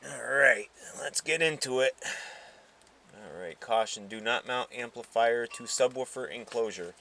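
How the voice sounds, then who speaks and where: A man's voice: medium pitch, measured pace, creaky, pushed and bright. A man hosting a casual car audio unboxing, talking informally and thinking aloud, with some noise behind him. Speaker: host of a car audio channel.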